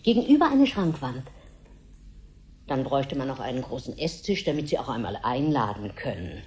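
A woman's voice: extremely low pitch, big pitch swings, normal speed, darkish tone, a little hiss or hum. An actress playing a self-assured, persuasive lady guest with expensive tastes in a 1970s radio play. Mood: chatty, assured.

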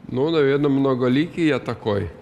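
An older man being interviewed as a guest actor on a studio talk show, speaking haltingly with many filler sounds. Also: mid-range voice, animated, vocal fry, darkish tone, in a large hall. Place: TV studio with audience. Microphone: headset mic.

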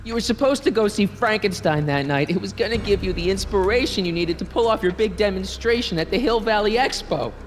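An actor playing an earnest, exasperated teenage time traveler in an animated video game, talking casually and pleadingly. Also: male voice, high-pitched, fairly quick, hardly any pauses, a little hiss or hum, close to an everyday voice. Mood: insistent, puzzled. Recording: long hall reverb, faint music.

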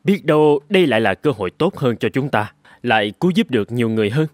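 A man playing an earnest, determined inventor in an animated story, speaking reassuringly. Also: medium pitch, fast, no room sound, clean studio sound, close to an everyday voice. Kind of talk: dubbed cartoon dialogue.

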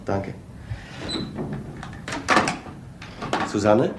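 An actor playing a stubborn, quietly wry investigator with a low-key, hesitant manner in a radio crime drama. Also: male voice, sing-song, a little slow, echoey room, heavy background noise. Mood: uneasy. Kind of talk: German crime radio play acting.

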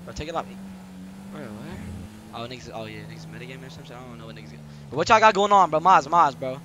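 A man streams a role-play game and banters casually with friends. His mid-range voice is slangy and full of swearing, and clear noise from game streets and cars runs behind it. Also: mid-range voice, expressive, fast. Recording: noisy game audio with vehicle sounds.

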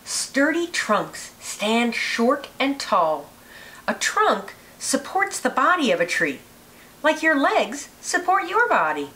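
A woman reading a children's picture book aloud with deliberate, expressive phrasing. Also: high-pitched. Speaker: read-aloud narrator, teacher.

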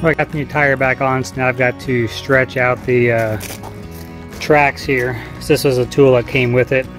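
A man's voice: medium pitch, level tone, normal speed, over music. A man in his thirties vlogging outdoors on a homestead, talking casually as he works on a repair, filmed with the camera held at arm's length. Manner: easygoing, plainspoken, explaining as he works. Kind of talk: casual how-to vlog. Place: outdoors on a farmyard. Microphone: camera mic, selfie at arm's length.

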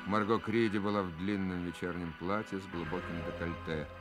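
An actor playing the storyteller, reading scripted narration for a detective radio play. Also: male voice, low-pitched, steady tone, fairly quick, music in the background, natural voice. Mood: measured, suspenseful. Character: male storyteller of a detective tale.

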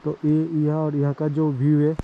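A man's voice: a little high, monotone, moderate pace, phone-quality sound, a little hiss or hum. A man narrating his motorcycle trip outdoors in a loose, chatty vlog style, full of fillers. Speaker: motorcycle travel vlogger. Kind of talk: casual travel vlog narration. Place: outdoors on mountain roads.

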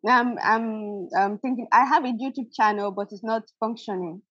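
A woman on a video call about starting a baking show, hesitant and nervous, stammering and laughing softly. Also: a little high, moderate pace, breathy edge, no echo. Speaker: caller, aspiring baker. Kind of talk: coaching call. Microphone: video call, audio only. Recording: dull lo-fi call audio.

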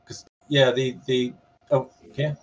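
A man's voice: medium pitch, level tone, takes it slowly, muffled recording. A man casually moderating a panel on a video call in a tentative, polite way.

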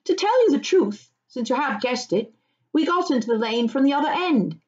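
A cheerful young hobbit gentleman from a storybook, performed by a woman reader. He speaks in a lifted, higher pitch with polite, breezy openness. The audio is slightly dull. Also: animated, moderate pace. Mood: candid, explaining.

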